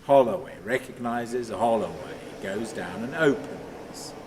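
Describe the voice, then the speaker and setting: An actor playing a troubled, lonely man who tells himself stories in halting, repetitive fragments, in a radio play monologue. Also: medium pitch, background noise, close to an everyday voice. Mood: hushed, brooding.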